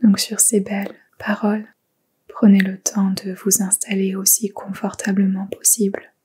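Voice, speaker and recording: Female meditation guide, whispered, mellow and flat, slow calm delivery. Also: mid-range voice, breathy edge. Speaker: yoga nidra teacher.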